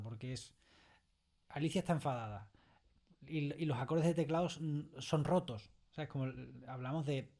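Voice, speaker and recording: A man's voice: fairly high pitch, warm tone. A man with a Spanish accent from Spain talking casually in an interview as a creator, explaining with animation, repeating words and checking in with little tag questions. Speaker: audio fiction creator being interviewed. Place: recording studio.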